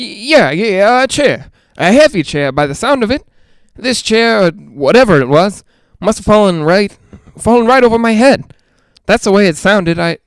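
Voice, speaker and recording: Male, clear high voice; actor playing a jittery, lonely haunted-house broadcaster, rambling, halting, old-time radio drama. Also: dry recording. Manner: nervous, rambling, halting, self-reassuring. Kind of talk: 1940s-style radio drama monologue.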